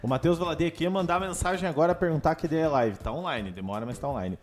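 A Brazilian man co-hosts a live stream in loose, slangy speech. His pitch is a little high for a man, and there is music behind his voice. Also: fast talker. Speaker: co-host reading viewer messages. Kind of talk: casual slangy chat.

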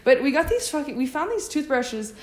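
A woman's voice: high voice, big pitch swings, fairly quick, roomy sound. A young woman co-hosting a casual podcast, chatting loosely with lots of fillers. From United States. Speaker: podcast co-host. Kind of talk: casual chat.

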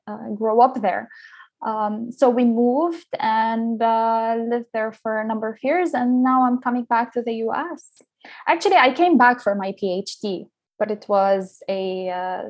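A middle-aged woman from Liberia, a scholar being interviewed, speaking reflectively with frequent fillers and restarts. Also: on the high side. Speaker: guest scholar. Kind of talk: interview conversation.

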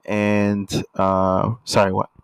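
A young man from Canada co-hosting a casual couple podcast, relaxed and full of filler words. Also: fairly low pitch, slow, clear, resonant tone, no room sound, studio quality. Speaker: podcast co-host.

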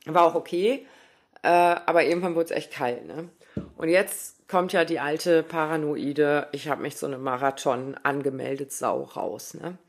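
A woman's voice: sits low, a little slow. A woman in her thirties talking casually in a spoken diary, rambling with lots of fillers and asides. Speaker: running podcaster.

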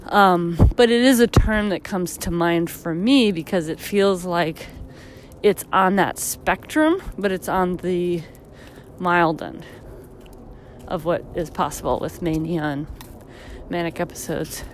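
A tired woman in her thirties, hosting her own podcast, reflecting in a rambling, casual way while walking outdoors on a street, her voice a little deeper and husky. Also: slow pace, slight background noise. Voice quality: slightly deep, husky from tiredness. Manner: reflective, rambling, many fillers. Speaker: podcast host. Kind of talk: casual solo podcast monologue.

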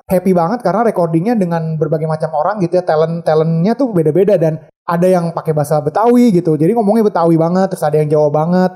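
Chatty podcast co-host, mellow tone, quick agreeing asides. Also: medium pitch. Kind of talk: casual chat.

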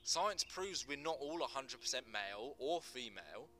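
A man's voice: medium pitch, animated, normal speed, bright, forceful tone, slight background noise. A man acting an earnest gangster who explains things with emphatic passion in a dramatic screenplay reading. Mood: explaining passionately. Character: earnest Teddy Boy gangster, passionate non-binary teacher.

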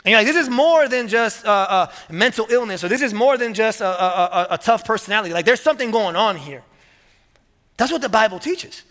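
A male pastor preaching with energy, his voice high and bright as he presses each point and repeats key words. His sermon rings out with a long reverb in a large hall. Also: a little fast. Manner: energetic, repeats words, rising to emphatic peaks.

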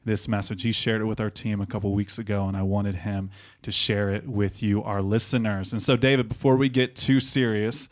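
A man's voice: fairly low pitch, steady tone, a little fast. A man hosting a podcast in a studio, opening the show and teasing his guest playfully. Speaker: podcast host. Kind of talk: podcast intro and casual banter.

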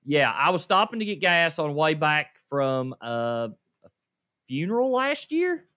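A man's voice: a little high, big pitch swings, unhurried, bright, forceful tone. A man, co-hosting a podcast and telling self-deprecating stories with laughs. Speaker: podcast co-host. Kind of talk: casual podcast storytelling.

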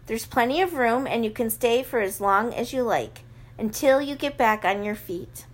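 A woman voicing a kindly, mild-mannered man, a gentle minor wizard with a calm, genial way of talking. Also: a little high, normal speed.

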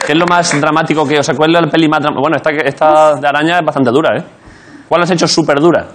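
A man from Jaén with an Andalusian accent, hosting a late-night talk show and teasing his guest with quick jokes. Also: fairly high pitch, steady tone, crowd noise. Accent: Andalusian Spanish. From Jaén, Spain. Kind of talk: joking late-night interview. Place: TV studio with live audience. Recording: loud studio audience and noise behind.

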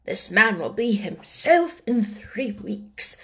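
A calm middle-aged solicitor remarks slowly and in a measured way, as a woman narrator plays him. This is a storybook reading. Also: mid-range voice, slightly rough, exaggerated voice.

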